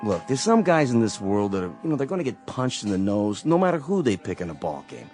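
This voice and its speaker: Animated, streetwise barkeep. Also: male voice, fairly low pitch, soft background music. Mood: advising. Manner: halting, colloquial, streetwise. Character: gruffly protective, plain-spoken tavern keeper. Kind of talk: radio drama acting.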